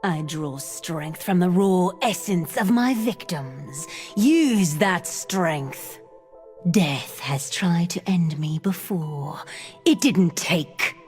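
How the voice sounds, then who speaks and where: A dark evil vampiress voiced by a woman speaks in a low, measured drawl. Her threats come slow and breathy, half whispered, over faint music. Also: animated. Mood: menacing.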